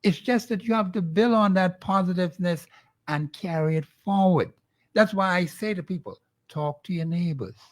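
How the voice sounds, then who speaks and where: A man's voice: high voice, normal speed. A man with a Bahamian accent, speaking as a guest professor and urging listeners with earnest rhetorical questions. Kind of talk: call-in show commentary.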